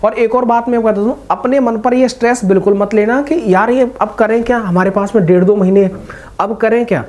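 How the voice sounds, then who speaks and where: A young man with a very mellow, soft-edged voice talks to viewers like an older study partner. He is informal and reassuring, offering motivational advice in a casual way. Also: high-pitched, fairly even intonation, fairly quick. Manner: informal, reassuring, addressing listeners as brothers. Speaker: exam-preparation video host.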